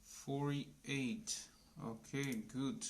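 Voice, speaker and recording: A man's voice: mid-range voice, steady tone. A man in his thirties from Colombia, teaching a live online English class for beginners, speaking simply and greeting viewers by name. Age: 30s. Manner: slow, simple speech for beginners.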